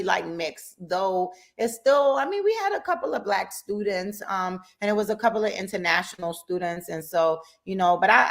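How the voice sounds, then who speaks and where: A woman in her thirties, from Jamaica and raised in Brooklyn, talks with a low, slightly gravelly voice. She speaks in a relaxed, chatty way, often with a laugh close by. She is a guest on a radio talk show. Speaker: guest entrepreneur. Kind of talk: casual interview chat. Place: radio studio.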